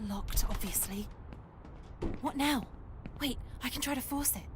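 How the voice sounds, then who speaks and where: An actress playing a rebellious, impulsive runaway teenage maid in a video game, with a cheeky, eager manner. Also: female voice, sits high, unhurried, background noise. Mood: determined. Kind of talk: video game cutscene acting.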